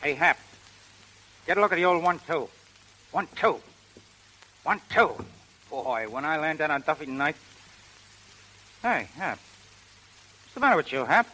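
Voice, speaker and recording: A cocky dim prizefighter in an old 30s radio play, sharp highish voice, slangy. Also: male voice, expressive, measured pace, pauses often, exaggerated voice, low-quality recording. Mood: boastful, puzzled. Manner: slangy, ungrammatical, boastful. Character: cocky, dim, girl-crazy young prizefighter. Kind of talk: 1930s radio acting. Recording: old 1930s radio broadcast recording.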